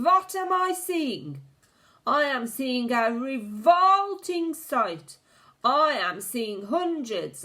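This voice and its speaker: A woman doing a shrieking, high, raging old witch queen. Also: sharp tone, full character voice. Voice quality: shrieking, screaming. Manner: slow, drawn-out, ranting commands. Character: shrieking, furious old female witch leader. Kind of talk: storybook reading.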